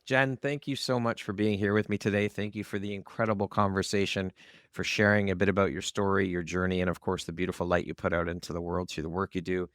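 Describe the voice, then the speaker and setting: A man hosting an interview podcast, speaking in measured, appreciative and rather formal phrases. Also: sits low, flat delivery, no echo, studio quality. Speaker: podcast host. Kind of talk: interview hosting with polished outro.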